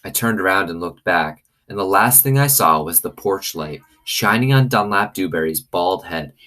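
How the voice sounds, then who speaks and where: A man's voice: deep voice, animated, moderate pace, bright, forceful tone. A man reading a children's novel aloud like a teacher, with commentary along the way. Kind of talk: expressive storybook reading with commentary.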